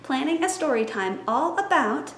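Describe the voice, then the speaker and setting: A young woman with a high, bright voice talks in a chatty, upbeat, teacherly way. She sounds like she is in her twenties. Her voice echoes around a roomy space, with faint noise underneath. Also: lively intonation, normal speed. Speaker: library science student and story time planner. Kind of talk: casual teaching vlog. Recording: echoey room.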